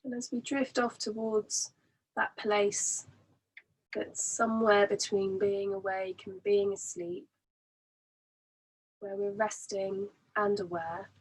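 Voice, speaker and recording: A woman leading a guided relaxation as a meditation teacher, soothing and gently instructing in an unhurried way. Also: medium pitch, breathy edge, rough recording.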